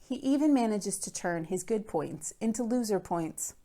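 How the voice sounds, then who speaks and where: A woman's voice: medium pitch, expressive, moderate pace, mellow, close to an everyday voice. A woman reading a children's storybook in the first person as an earnest, fretful middle-school boy, with emphatic, dramatic phrasing. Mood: exasperated. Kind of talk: first-person children's book read aloud.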